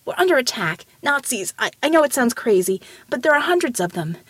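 A woman's voice: high voice, animated, a little fast, no echo, pitched above the performer's own voice. A woman voicing an earnest female police detective who pleads insistently and babbles with urgency in an audiobook story. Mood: urgent.

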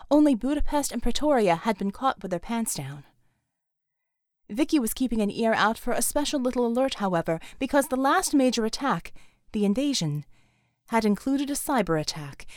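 A woman's voice: a little high, no echo, clean studio sound. A woman reading dramatic action fiction as a scripted audiobook narration.

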